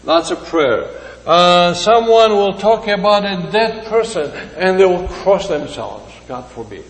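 An older man with a Greek accent, giving a sermon slowly and deliberately with frequent hesitations. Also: high-pitched, animated, long reverb tail, low-quality recording. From Greece.

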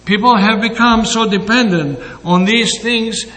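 An older man with a Greek accent, giving a sermon slowly and deliberately with frequent hesitations. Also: high voice, fairly bright tone, long reverb tail, rough recording. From Greece.

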